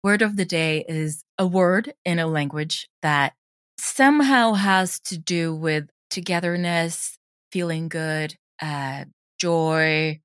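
A woman's voice: low voice, slow pace, fairly bright tone, clear, resonant tone, no room sound, clean studio sound. A woman speaking as a writer and interview guest, explaining things in a thoughtful, conversational way. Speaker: audio drama writer and sound designer, interviewed guest.

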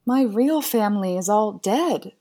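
A woman's voice: medium pitch, expressive, measured pace, warm tone. A woman playing a guarded, shaken adopted woman in her thirties in an audio horror drama. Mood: guarded, stunned.

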